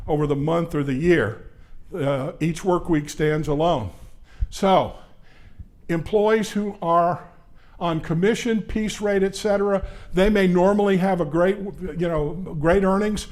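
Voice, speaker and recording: A man in his sixties presenting a legal seminar at a conference, talking loudly and quickly with frequent "uh" fillers. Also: high-pitched. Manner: loud, brisk, conversational lecturer with frequent "uh" fillers. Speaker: labor law attorney presenting a seminar. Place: conference session room. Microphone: handheld or stand microphone.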